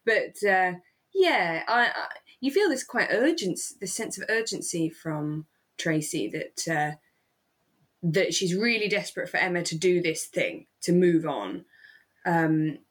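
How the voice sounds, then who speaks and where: A woman with a slightly low voice chats casually as a podcast co-host. She is reflective, turning things over and often wondering aloud. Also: lively intonation, a little slow. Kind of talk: casual discussion of a radio drama.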